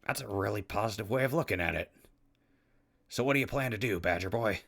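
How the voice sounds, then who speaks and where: Low, gravelly male wasteland radio host, acted. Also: brisk, a bit bright. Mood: concerned. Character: Crispy, wry, big-hearted male wasteland radio host. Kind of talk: post-apocalyptic audio drama acting.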